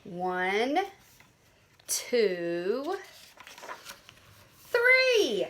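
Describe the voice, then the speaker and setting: Woman playing matter-of-fact picture-book pig, cheerful, animated pitch, slow deliberate pace. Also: a little hiss or hum, exaggerated voice. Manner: simple, deliberate, emphatic delivery.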